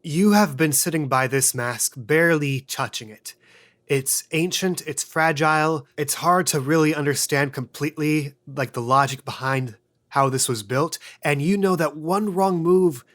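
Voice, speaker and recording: Game master with a mid-pitched voice. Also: fairly bright tone. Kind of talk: narrating and refereeing a tabletop role-playing podcast.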